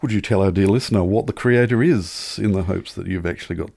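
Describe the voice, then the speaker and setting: A man's voice: deep voice, fast talker, creaky, a little mellow, no room sound, studio quality. A man chatting casually as a podcast co-host, telling anecdotes in wry, wordy turns of phrase.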